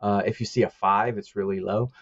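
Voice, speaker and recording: Low-voiced man, halting with fillers. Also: steady tone, no echo, muffled recording. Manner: halting, many uh fillers, chuckles often. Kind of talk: casual call-in chat. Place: lives in East Asia.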